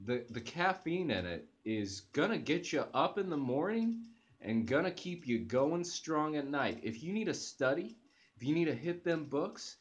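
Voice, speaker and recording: A young man hosting a casual coffee review to camera from his living room sofa, talking enthusiastically with hesitant restarts and fillers, recorded on a close webcam. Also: fairly high pitch. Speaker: channel host reviewing coffee. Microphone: webcam at close range.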